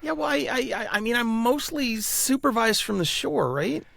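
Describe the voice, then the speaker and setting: A woman's voice: a little high, expressive, moderate pace, creaky, fairly bright tone, dry recording, heavily acted. A woman playing a nervous, sheepish teenage boy who hesitates and stammers through a comedic audio sketch.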